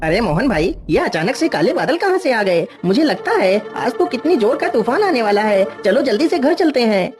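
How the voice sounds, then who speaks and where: Woman as excitable, high-voiced farmer neighbour. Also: moderate pace, few pauses, music in the background. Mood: alarmed. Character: friendly, alarmed male farmer neighbour.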